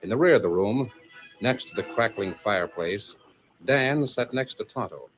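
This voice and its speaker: A man's voice: fairly low pitch, lively intonation, moderate pace. Dramatic old-time radio male narrator. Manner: declamatory, suspenseful. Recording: dull vintage radio, music behind.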